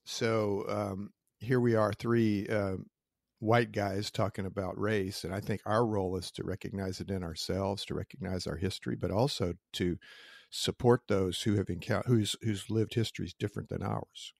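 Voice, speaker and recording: Man, low and gravelly, reflective storyteller on a podcast; dry close sound. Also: steady tone, normal speed, no echo. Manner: reflective storytelling with small restarts. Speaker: podcast co-host and interviewer. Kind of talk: casual conversation.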